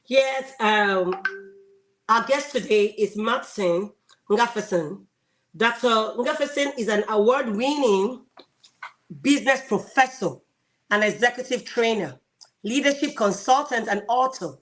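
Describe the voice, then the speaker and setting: A woman's voice: fairly high pitch, measured pace, low-quality recording. Upbeat woman interview host. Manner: enthusiastic, laughs often. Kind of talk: interview introduction and questions.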